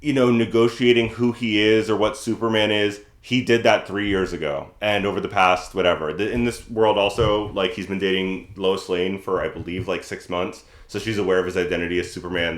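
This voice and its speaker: A man with a deep voice talks casually as a podcast co-host. He comes across as earnest and full of opinions. The room around his voice is noticeably echoey. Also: steady tone, brisk. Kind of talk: casual chat.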